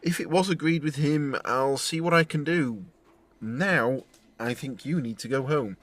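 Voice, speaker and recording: An actor playing an awkward, dismissive boss in an audio drama, hesitant and condescending. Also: male voice, on the high side, unhurried, a bit bright. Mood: grudging. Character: awkward, dismissive male boss who inherited the firm. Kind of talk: naturalistic audio drama acting.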